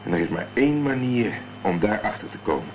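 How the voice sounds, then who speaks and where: A man's voice: fairly low pitch, lively intonation, a little fast, close to an everyday voice. A man acting a cool, determined amateur investigator in a Dutch radio crime drama, speaking tersely and matter-of-factly. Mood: wary, calm. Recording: dull, noisy old radio play recording.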